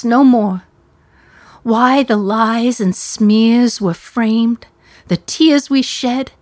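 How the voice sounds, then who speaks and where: An actress playing a condemned woman in her thirties, speaking slowly and reflectively with a wry edge. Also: fairly high pitch, darkish tone, no room sound. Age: 30s. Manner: slow reflective delivery, wry humor. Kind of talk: radio play monologue.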